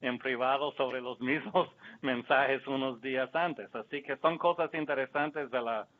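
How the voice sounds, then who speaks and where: A man's voice: medium pitch, fairly even intonation, a little hiss or hum. Man with a Mexican Spanish accent on a tinny line. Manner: measured, critical, candid. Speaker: panelist. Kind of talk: discussion remarks. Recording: tinny phone-like sound.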